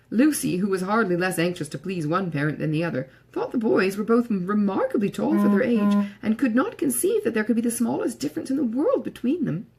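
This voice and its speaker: A woman reading literary narration aloud in a measured, formal storytelling manner, with a husky, slightly creaky voice. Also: mid-range voice, a little mellow. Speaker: audiobook reader.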